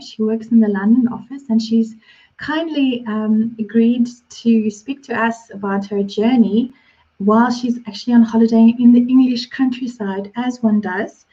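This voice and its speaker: A woman's voice: a little high, fairly even intonation, a little slow, warm tone. A woman hosting an online meetup, introducing a speaker in an easygoing way over a video call. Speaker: meetup host. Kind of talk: casual introduction. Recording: dull lo-fi call audio.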